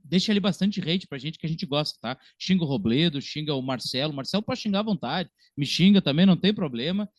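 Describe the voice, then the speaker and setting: A man with a Brazilian accent hosting a casual film podcast in an animated, jokey manner. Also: a little high, fast talker, hardly any pauses, no echo.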